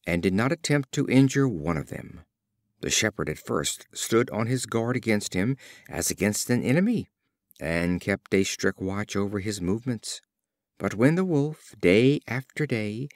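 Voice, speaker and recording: Lively, unhurried man reading fables. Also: lowish voice, no room sound, clean studio sound. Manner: expressive storyteller delivery. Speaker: audiobook reader. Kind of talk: scripted fable narration.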